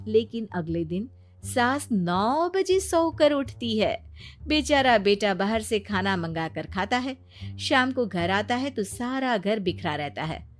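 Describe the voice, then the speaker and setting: A woman's voice: medium pitch, sing-song, slow, faint music behind. A woman reading scripted narration for a family story.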